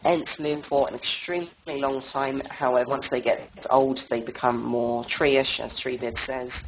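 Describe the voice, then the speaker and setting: A young man with a cold leads a lore tour over voice chat, stopping often on uh and um. His voice sits at an ordinary middle pitch. The audio is dull and lo-fi, with a faint hiss underneath. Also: steady tone. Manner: halting, full of uh and um fillers. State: has a cold. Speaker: tour guide leading a lore tour.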